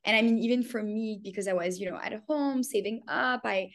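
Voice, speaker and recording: A woman's voice: mid-range voice, brisk. A woman in her twenties, born in France and raised in Canada, talking eagerly as a guest in a casual podcast interview and laughing often. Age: 20s. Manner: enthusiastic, gushing, laughs often.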